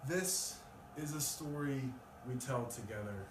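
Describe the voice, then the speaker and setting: Low-voiced man at an echoey poetry reading. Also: animated, unhurried. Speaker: poet reading own work. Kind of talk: live poetry reading with casual introduction. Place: live event in a room with an audience. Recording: distant mic, echoey room, loud noise.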